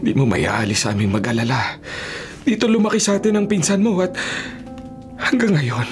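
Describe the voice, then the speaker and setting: A man playing a dutiful, protective middle-aged mayor and father in a Filipino radio drama, measured, authoritative and earnest. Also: on the high side, big pitch swings, faint music behind. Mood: apologetic, worried.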